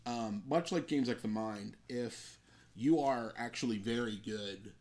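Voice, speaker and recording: A man's voice: medium pitch, measured pace, darkish tone. A man talking casually as he demonstrates and plays a tabletop card game. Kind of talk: casual chat during tabletop game play.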